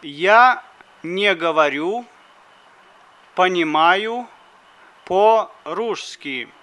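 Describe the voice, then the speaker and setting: A man reads drill phrases for a language lesson, pronouncing each word with great care. He speaks very slowly. His voice is fairly high and smooth. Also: lively intonation, pushed and bright. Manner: deliberate, carefully articulated phrases. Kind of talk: slow phrasebook drill reading.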